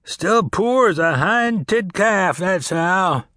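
A man puts on a cranky old Texas farmer, grumbling and dry-witted, his voice pushed up high. The sound is close and dry, with no room around it. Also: lively intonation, slow pace, sharp tone, no room sound, exaggerated voice. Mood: gruff.

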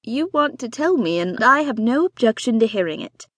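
A deadpan, weary husband with a dry, teasing wit, as a woman reads him aloud. The lines stay calm and understated, in a dry, close reading of a storybook. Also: fairly high pitch, moderate pace, dry recording. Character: dry, weary husband, a bookish father of five.

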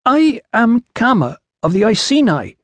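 Audiobook role: proud, indignant ancient tribeswoman, played by a male narrator, raised pitch, slow and emphatic, blunt. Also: sing-song, a bit bright, no room sound, full character voice. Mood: insistent, indignant.

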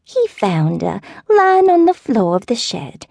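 A woman voicing a fussy elderly neighbor with a Southern accent, who talks in a slow, meandering, wavering falsetto. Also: wildly swooping pitch, vocal fry, darkish tone, dry recording, full character voice. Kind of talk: audiobook character voice.

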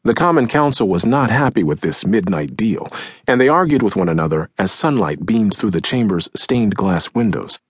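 A man reads scripted nonfiction in a husky voice on the low side. His delivery is measured and dramatic, with a widely moving pitch that gives each phrase shape. He is close to the microphone. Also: big pitch swings, dry recording. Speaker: audiobook narrator. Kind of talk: scripted nonfiction audiobook narration, acting out quotes. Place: close, dry recording studio.

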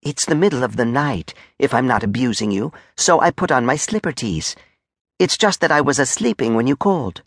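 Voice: mid-range voice, animated, a little fast, no echo, studio quality. A performer voicing a meek, simple-minded soldier in a storybook, coy and obsequious, with muddled fussy phrasing.

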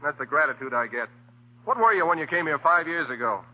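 A man's voice: on the high side, expressive, fast talker, pushed and bright, heavily acted. An American man acting a brash, scheming, sarcastic newspaper editor in an old-time radio comedy, glib and theatrical. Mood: indignant. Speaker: actor. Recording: muffled old radio broadcast.

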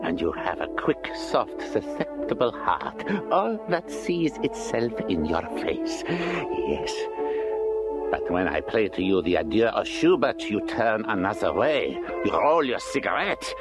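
Loud music swells under a sinister hypnotist villain. He is boastful and insinuating, drawing his words out slowly with big swoops of pitch, in full Victorian melodrama style. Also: very animated. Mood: seductive, insinuating.

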